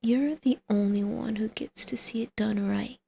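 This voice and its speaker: A woman playing a teasing, flirtatious villainess in an intimate ASMR roleplay, with a creaky voice that often drops to a breathy whisper. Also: on the high side, fairly even intonation, normal speed, warm tone, a little hiss or hum. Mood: seductive persuasion.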